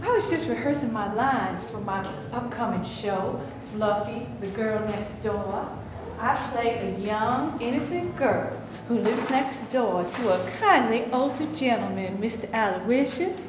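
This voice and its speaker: A woman playing a ditzy, vain starlet. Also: a little high. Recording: echoey room with noise.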